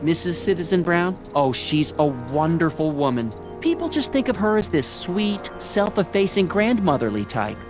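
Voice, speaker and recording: A man's voice: a little high, very animated, normal speed, hardly any pauses, over music. An actor playing a meek, middle-aged American small-town father in a video game, earnest, folksy and prim. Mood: deferential, wistful. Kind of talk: animated video game dialogue acting.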